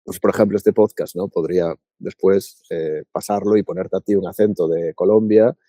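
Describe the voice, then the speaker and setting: A man from Paraguay co-hosting a podcast, thinking aloud in a relaxed, reflective chat with frequent restarts. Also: low-pitched, moderate pace, dry recording, studio quality. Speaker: podcast co-host. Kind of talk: casual reflective conversation.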